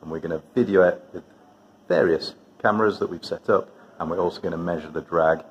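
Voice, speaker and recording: A middle-aged man calmly explaining a model test run. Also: low voice, brisk, highs cut off, a little hiss or hum. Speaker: researcher narrating a towing tank test.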